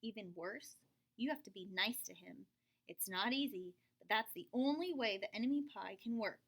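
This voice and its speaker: A woman voicing a secretive, knowing middle-aged father in a read-aloud storybook, speaking in a hushed, confiding way. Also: medium pitch, animated, moderate pace, low-quality recording.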